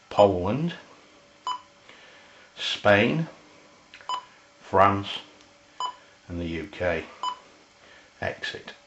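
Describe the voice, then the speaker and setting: A man with an English accent giving an informal, unscripted hands-on product demonstration, halting and often pausing mid-sentence. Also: deep voice, a little hiss or hum. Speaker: product reviewer. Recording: roomy, dull lo-fi camera sound.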